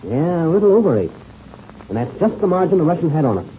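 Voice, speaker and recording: A man playing a steady, fatherly veteran pilot in a 1930s radio serial, folksy in manner, on an old radio recording. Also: a little high, fast, phone-quality sound, a little hiss or hum. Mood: confident.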